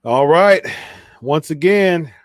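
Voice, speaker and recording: A man's voice: a little high, expressive, slow, no echo, studio quality. A man hosting a live watch-party stream, casually chatting and reading viewer comments aloud with lots of fillers. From Ohio, USA.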